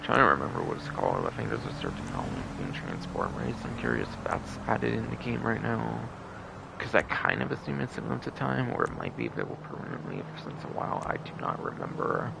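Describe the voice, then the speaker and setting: A man's voice: low voice, huge pitch swings, fairly quick, gravelly. A man giving casual, rambling livestream commentary on a game, often repeating himself, in a noisy recording. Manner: rambling, repeats stock phrases over and over. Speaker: livestream host.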